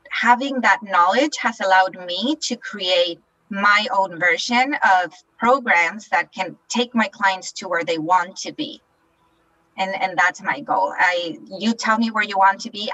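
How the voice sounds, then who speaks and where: A woman's voice: mid-range voice, a little slow, sharp tone, highs cut off. A woman from Chile who is a life coach, telling her story as a guest in a confident, upbeat way. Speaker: life coach and Reiki master. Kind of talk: podcast interview guest.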